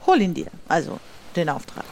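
A woman's voice: on the low side, wildly swooping pitch, moderate pace, darkish tone, slight background noise. A woman playing a stern, commanding pirate captain in an improvised audio adventure, speaking in an imperious, declamatory way. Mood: stern, brisk. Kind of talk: improvised audio drama acting.

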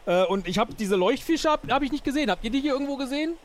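A man's voice: very high-pitched, animated, very fast. A man casually improvising as a player in a role-play audio adventure, chatty and self-deprecating.

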